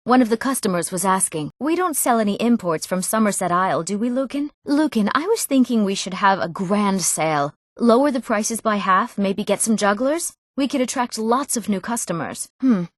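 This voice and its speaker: Casting: eager young village woman for a fantasy RPG, played by an actress, mid-pitched, earnest, clear diction, dry booth recording. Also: animated, normal speed, few pauses, no room sound, lightly acted. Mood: eager, hopeful. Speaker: video game voice actor. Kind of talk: scripted fantasy game dialogue.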